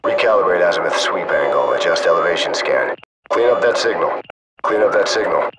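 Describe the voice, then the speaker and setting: A man's voice: sits low, lively intonation, fairly quick. A man playing a cool, detached military gunship crewman, giving clipped, casual callouts through a radio filter. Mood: businesslike. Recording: noisy military radio with engine drone.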